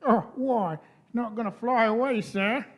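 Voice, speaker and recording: A man's voice: very high voice, measured pace. A man playing a jokey, chatty young English policeman in a live radio play, colloquial and cheeky.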